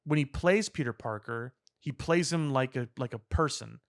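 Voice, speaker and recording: Articulate man, medium pitch. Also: moderate pace. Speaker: podcast co-host. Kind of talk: opinionated casual pop-culture discussion.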